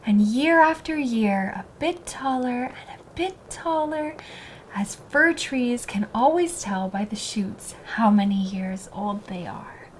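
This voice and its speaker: A woman's voice: on the high side, animated, takes it slowly, slight background noise. A woman narrating a scripted children's story as a storyteller.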